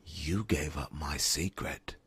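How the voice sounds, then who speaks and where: A man's voice: rumbling low, slow pace, fairly bright tone, a little hiss or hum, heavily acted. A man playing a sadistic, gloating demon servant in an ASMR fantasy roleplay, with a taunting, whispery delivery. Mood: mocking threat.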